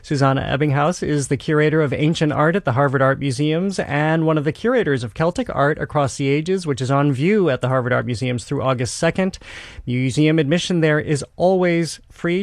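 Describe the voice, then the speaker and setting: A man's voice: a little high, fairly quick, dry recording, studio quality. A man hosting a radio interview, fluent and friendly in a conversational way. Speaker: radio host.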